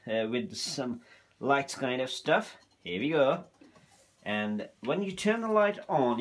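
A man presenting an informal hands-on unboxing in a hesitant, halting way, speaking non-native English in a room at home. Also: on the high side. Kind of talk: informal hands-on product demonstration. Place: small room at home, indoors. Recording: noticeable background noise, handling clicks.

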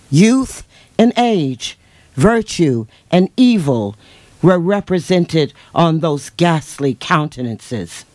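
A man giving a solemn, measured dramatic reading of literary prose at a live bookshop event. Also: sits high, darkish tone, dry recording. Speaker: reader in a staged book reading. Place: bookshop event before a seated audience.

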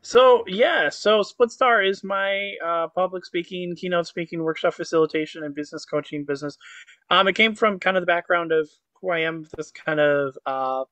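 Upbeat higher-voiced man on a video call. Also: moderate pace, a bit bright, dry recording. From Croatia. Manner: upbeat, fluent, many "um"s and "you know"s. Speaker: guest, motivational speaker. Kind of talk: casual interview chat. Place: indoor home office with virtual backdrop. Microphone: webcam on a video call.

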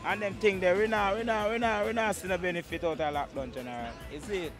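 Jamaican guy on the street, high voice, keeps repeating himself, "you see it?" type tags. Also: soft background music. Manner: halting, repeats words, tag questions. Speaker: street interviewee. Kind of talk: casual street chat. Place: outdoors on a city street.

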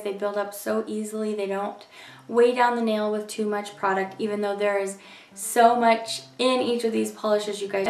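A young woman talks in an effusive, chatty way, her voice hoarse and gravelly. She sounds a little distant in a roomy, echoey space, with faint music behind her. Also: mid-range voice. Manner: gushing, chatty, keeps saying "you guys". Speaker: nail polish reviewer. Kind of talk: casual product review. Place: indoor room in front of polish shelves.

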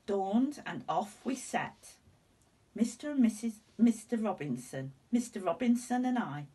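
Husky older woman reading a storybook, unhurried. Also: mid-range voice. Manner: deliberate, animated telling. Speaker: teacher reading a picture book to children. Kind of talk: expressive storybook narration. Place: indoors at home, seated before curtains. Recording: slightly distant room microphone.

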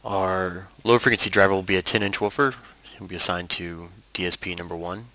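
A man narrating a software tutorial as an instructor, explaining things step by step. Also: sits low, steady tone, brisk, no echo, low-quality recording.

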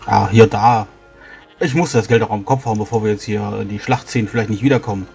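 Fast, gravelly male roleplayer. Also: lowish voice, darkish tone, faint music behind. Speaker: tabletop game player. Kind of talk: casual in-character game talk.

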